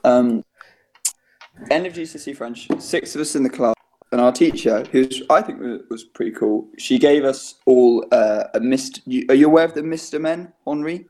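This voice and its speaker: An eighteen-year-old English lad hosting a comedy podcast from home during quarantine. His voice is mid-pitched and mellow, and he rambles along, swearing offhandedly and breaking into laughs. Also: moderate pace. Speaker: podcast host. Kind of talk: casual comedy podcast chat.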